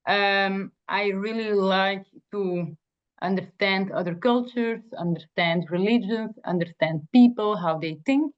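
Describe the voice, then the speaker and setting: A woman's voice: medium pitch, unhurried. A young woman from Belgium, a native Dutch speaker, earnestly introducing herself in a job interview with many fillers and restarts. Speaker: job candidate. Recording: dull lo-fi sound.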